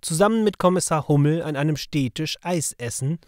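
A man's voice: a little high, a little fast, dry recording, clean studio sound. A man narrating a children's audio drama in a scripted storytelling style.

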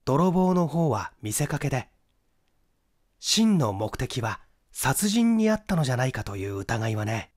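A young amateur detective from a mystery audiobook, performed by a man, clever and sure of himself. He explains methodically in a mid-pitched, very animated voice. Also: a little slow. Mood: methodical, self-assured. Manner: explanatory, methodical, rhetorical questioning. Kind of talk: Japanese mystery audiobook acting.

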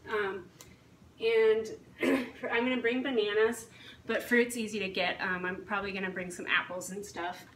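A woman fitness coach explains things in a casual, matter-of-fact way to a camera a few feet away. Her voice sits at a middle pitch. The recording is roomy and distant, as in a hard-surfaced kitchen, with a little background noise. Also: steady tone, normal speed. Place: home kitchen, indoors. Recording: echoey kitchen, distant mic, faint hiss.

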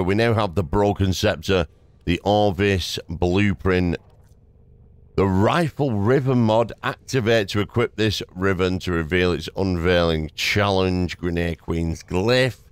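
Male gaming host, low pitch, resonant, casual commentary, appreciative, dry close mic, soft game music. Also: slow pace, clear, resonant tone, no echo.

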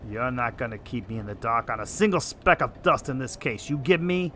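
Animated hard-boiled private eye. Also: male voice, medium pitch, a little fast, roomy sound, slight background noise. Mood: tough, insistent. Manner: wry, terse, slangy tough talk. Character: hard-boiled, stubborn 1940s private detective, gruff uncle. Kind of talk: noir radio drama, narration and dialogue. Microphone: close studio microphone, headset.

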